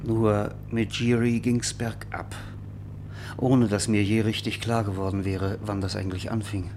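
A man's voice: fairly low pitch, level tone, unhurried, darkish tone, a little hiss or hum. A man acting in a German radio play as a wistful American novelist who talks in a reflective, storytelling way. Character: wistful American novelist reminiscing about his lost marriage.

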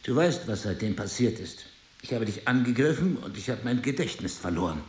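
An actor playing a remorseful, bewildered husband in an intimate drama, speaking in a grave, halting, restrained way. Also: male voice, medium pitch, expressive, darkish tone, roomy sound, lightly acted. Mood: resigned. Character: remorseful, bewildered husband, resigned to leaving his wife. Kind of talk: intimate dialogue-driven audio drama.

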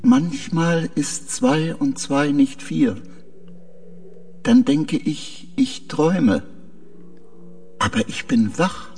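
A man voicing a confused, dreamy artificial mind of a spaceship in a radio play, speaking haltingly in short, simple sentences with a creaky voice. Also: fairly high pitch, wildly swooping pitch, a little mellow, faint music behind, exaggerated voice. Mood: dreamy, uneasy. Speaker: radio drama actor. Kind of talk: German radio play dialogue acting.

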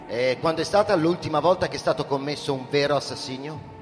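An actor playing an inquisitive, probing newcomer in radio drama dialogue, with a conversational manner. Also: male voice, fairly high pitch, quick pace, natural voice. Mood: curious. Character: inquisitive, probing male newcomer questioning a police chief. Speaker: actor in supporting part. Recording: reverberant hall, faint music behind. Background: faint music.

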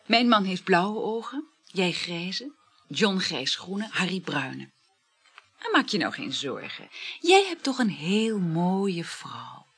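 A woman's voice: fairly low pitch, big pitch swings, slow pace, breathy edge, exaggerated voice. An actress in a radio play, playing a flirtatious, gushing woman who juggles several lovers and chats effusively with sighing exclamations. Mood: coaxing, flirtatious. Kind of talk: naturalistic radio play acting.

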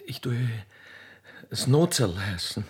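A man's voice: mid-range voice, sing-song, slow pace, husky, full character voice. A man voicing a naive, chatty young railway-keeper's girl in a radio play, with rustic Austrian dialect and flustered stammering. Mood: eager, shy.

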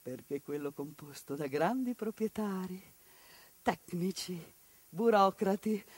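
A woman performing an impassioned theatrical monologue, switching between outbursts and hushed asides. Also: on the low side, slow, breathy edge, no echo. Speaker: stage actress.

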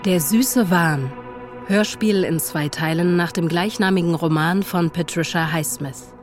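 Female radio drama announcer, very slow, rather low voice, scripted title reading, music behind. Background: prominent music.

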